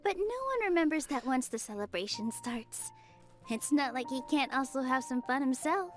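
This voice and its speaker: A woman playing a gentle, modest young female theater dancer in an anime-style video game, speaking politely and earnestly. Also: high-pitched, expressive, normal speed. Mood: cheerful, kind. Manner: soft-hearted, polite, earnest. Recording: music behind game dialogue.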